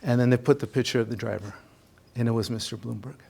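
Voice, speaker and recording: A man's voice: medium pitch, steady tone, fast, creaky, dark tone, husky. A middle-aged man from Ecuador whose first language is Spanish, a college counselor telling his own story in a presentation, earnest, with many fillers and restarts.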